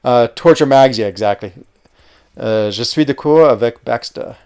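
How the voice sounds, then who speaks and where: A man's voice: medium pitch, moderate pace, darkish tone, smooth. A young American man hosting a gaming livestream, chatting in a rambling, casual way with plenty of fillers and hesitations. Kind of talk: casual live-stream chat while playing.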